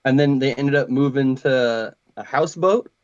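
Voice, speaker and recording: A man's voice: mid-range voice, normal speed, clear, resonant tone, no echo. Modest, easygoing man, slightly muffled. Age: 30s. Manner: modest, relaxed storyteller, says like and dude. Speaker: guest. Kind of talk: casual interview chat.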